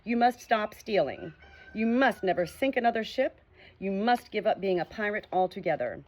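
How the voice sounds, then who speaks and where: Woman reading as a gentle storybook doctor, polite and measured, mild manner. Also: mid-range voice, expressive, pitched above the performer's own voice, lightly acted. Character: kindly gentle male animal doctor.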